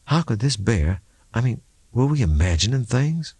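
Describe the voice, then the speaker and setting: A man reading an audiobook, voicing a tense, indignant woman with a sharp, questioning manner. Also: mid-range voice, big pitch swings, moderate pace, breathy edge, no echo. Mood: bewildered. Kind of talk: audiobook character dialogue.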